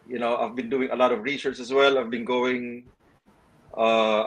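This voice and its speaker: A man speaking slowly and haltingly over a video call, full of "um" and "uh" as he explains his business steps. His voice sits at an ordinary middle pitch. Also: rough recording. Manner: hesitant, many fillers. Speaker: guest, storage franchisee. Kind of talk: casual video-call interview.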